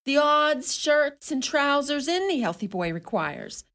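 Radio drama: bossy, scolding Irish mother, high and very animated, theatrical delivery. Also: measured pace, full character voice. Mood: brisk, bossy. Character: sharp-tongued, indignant Irish mother, middle-aged or older. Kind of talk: radio play acting.

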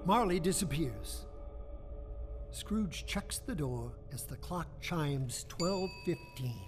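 A man's voice: on the high side, lively intonation, slow pace, over music. A man reading scripted storybook narration as the narrator of a radio play.

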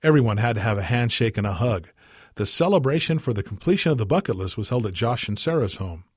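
A man with a husky voice reading a scripted short story as narrator. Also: mid-range voice, animated, fast, dry recording, clean studio sound. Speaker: story reader.

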